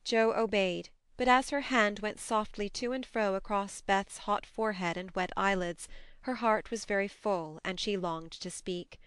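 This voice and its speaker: A woman reading a classic novel aloud as an audiobook narrator, in an expressive literary storytelling style. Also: medium pitch, a little slow, smooth, dry recording, studio quality.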